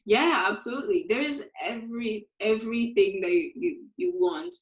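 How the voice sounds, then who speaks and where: A woman's voice: fairly high pitch, animated, normal speed, creaky, reverberant. A woman from Congo, a guest language teacher, talking casually on a video call, hesitant and often repeating her words.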